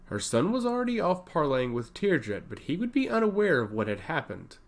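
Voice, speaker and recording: A man's voice: on the high side, sing-song, brisk. A man narrating a scripted fantasy story with a dramatic delivery.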